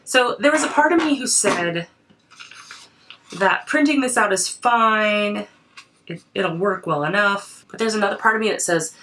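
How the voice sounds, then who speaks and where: A woman's voice: mid-range voice, fairly bright tone, distant mic, slight background noise. A woman creativity coach, her voice affected by a cold, chatting casually and thinking aloud as she crafts in a journal.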